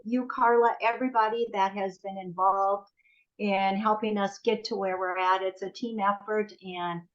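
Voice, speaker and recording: A woman in her sixties, a guest speaking earnestly and gratefully about teamwork. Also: medium pitch. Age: 60s. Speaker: guest business partner. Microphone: video call. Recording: muffled video call audio.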